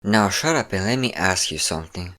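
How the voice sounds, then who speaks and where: A man performs a possessive vampire lord with a deep male voice. He is mocking and smug, lingering on pet names as he teases. The delivery is intimate, in the manner of an audio roleplay about an obsessive lover. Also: expressive, sharp tone. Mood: bored, commanding. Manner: smug, teasing, drawn-out endearments.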